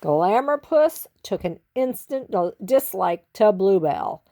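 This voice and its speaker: A woman narrates a children's story with a very lively, varied pitch. She is theatrical and stretches out key words for emphasis. Her voice sits in a middle register. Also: slow.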